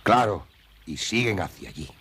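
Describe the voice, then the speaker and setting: A man playing a menacing, gruff bear hunter in a Spanish cartoon dub, with a bullying way of talking. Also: lowish voice, expressive, normal speed, a little hiss or hum. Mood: intent. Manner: bullying, forceful. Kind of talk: animated cartoon dub acting.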